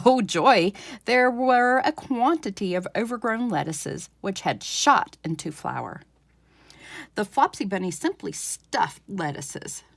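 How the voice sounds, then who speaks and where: A woman reading a children's storybook aloud as a library storyteller, with expressive, dramatic emphasis. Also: mid-range voice, no room sound.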